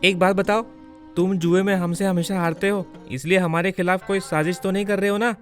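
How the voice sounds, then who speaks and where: A man's voice: high voice, steady tone, fairly quick, soft background music, natural voice. A man playing a nervous, wary gambler turned bandit in a moral story. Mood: doubtful. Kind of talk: dramatised Hindi moral story.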